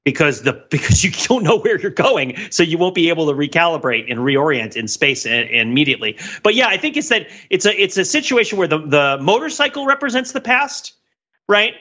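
A man with a bright, forward voice, pitched a little high. He talks as a podcast co-host, riffing playfully on films in casual chat. The audio is slightly dull and lo-fi. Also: sing-song, fairly quick. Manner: laughs often while talking, playful riffing. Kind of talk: casual film discussion.

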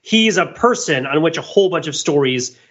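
A man's voice: a little high, expressive, normal speed, a bit bright, muffled recording. A man co-hosting a podcast, explaining his thoughts in a casual, rambling, enthusiastic analytical discussion. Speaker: podcast co-host and commentator.

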